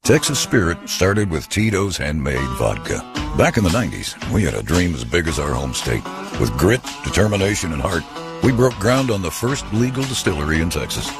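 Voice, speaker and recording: A man's voice: low-pitched, big pitch swings, moderate pace. A man reading scripted narration for a radio advertisement. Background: plucked guitar music.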